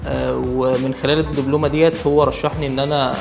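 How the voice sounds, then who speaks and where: A man's voice: medium pitch, flat delivery, quick pace, gravelly. A young man with an Egyptian accent, speaking matter-of-factly in a testimonial. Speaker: intern. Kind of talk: testimonial interview. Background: music.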